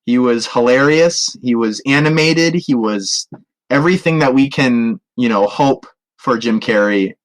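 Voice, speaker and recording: A man with a mid-pitched voice talks as a podcast co-host reviewing films. He is casual and jokey, with an animated, exclamatory way of putting things. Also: a little slow, low-quality recording.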